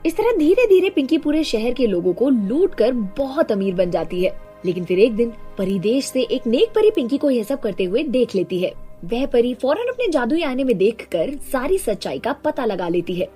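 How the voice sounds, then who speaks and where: Animated, high-voiced woman narrating a story. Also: moderate pace, few pauses, faint music behind. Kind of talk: scripted cartoon story narration.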